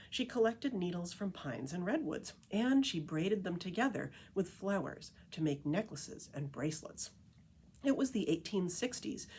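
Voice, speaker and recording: Woman reading expressively, lower-pitched, swooping intonation. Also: darkish tone, a little hiss or hum. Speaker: librarian reading a picture book aloud. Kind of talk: children's read-aloud storytelling.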